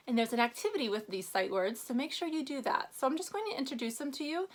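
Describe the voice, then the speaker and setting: A woman teacher giving a reading lesson to young children, prompting them with questions and reading aloud with expressive emphasis. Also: fairly high pitch. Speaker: first-grade teacher.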